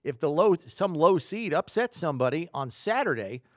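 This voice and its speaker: A man with an American accent hosting a football podcast, talking through statistics in a measured, analytical, conversational way. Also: fairly high pitch, big pitch swings, dry recording, studio quality. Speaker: sports podcast host.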